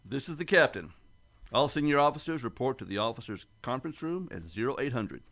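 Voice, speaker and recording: A man playing a steady starship captain in a sci-fi radio play, speaking with formal military authority. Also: medium pitch, fairly quick, vocal fry, heavy background noise. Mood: brisk, commanding.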